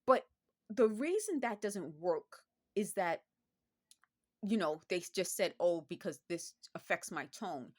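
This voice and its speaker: A woman's voice: fairly low pitch, animated, measured pace. A woman in her fifties talking earnestly and insistently in a personal vlog monologue, circling back over the same points. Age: 50s. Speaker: vlogger.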